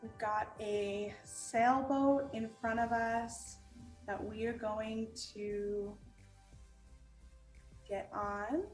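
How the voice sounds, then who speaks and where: Slow-paced woman guiding a meditation. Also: fairly high pitch. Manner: calm, gentle, unhurried instruction with long pauses. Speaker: meditation guide and art teacher. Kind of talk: guided meditation. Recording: roomy echo with music underneath.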